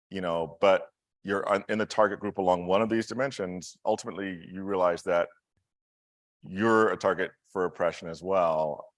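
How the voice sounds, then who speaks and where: A man's voice: deep voice, no echo, clean studio sound. A man in his fifties, speaking earnestly and reflectively in a casual conversation over a video call, often chuckling. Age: 50s. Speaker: conference speaker in a Q&A.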